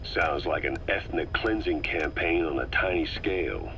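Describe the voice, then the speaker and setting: An actor playing a stern military intelligence officer in a video game, asking terse, matter-of-fact questions. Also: male voice, very deep voice, measured pace, pushed and bright, slight background noise, exaggerated voice. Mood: pondering. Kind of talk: dramatic game voice acting, interrogating dialogue.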